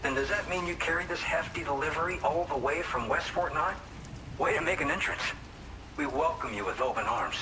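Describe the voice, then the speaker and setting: An actor playing a keen, forthright male outpost worker in a video game, with an expressive, welcoming manner. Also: on the high side, brisk, background noise, close to an everyday voice. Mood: welcoming, impressed. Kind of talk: scripted video game cutscene acting. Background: music.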